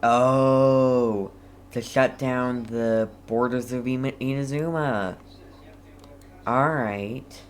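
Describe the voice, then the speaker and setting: A woman's voice: extremely low pitch, fairly even intonation, very slow. A woman streaming a game with casual commentary, muttering to herself and reacting briefly, with background noise.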